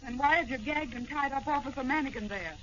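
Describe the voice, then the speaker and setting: A woman's voice: high-pitched, quick pace, lo-fi sound, close to an everyday voice. A woman playing an earnest, bold young daughter of a police commissioner in melodramatic 1940s radio serial style. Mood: firm, suspicious. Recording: noisy, band-limited old radio recording.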